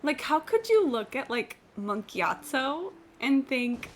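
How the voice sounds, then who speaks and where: A woman's voice: high-pitched, sing-song, takes it slowly. A woman livestreaming a game, chatting casually and opinionatedly in a blunt, sweary, incredulous ramble. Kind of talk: casual opinionated chat while gaming.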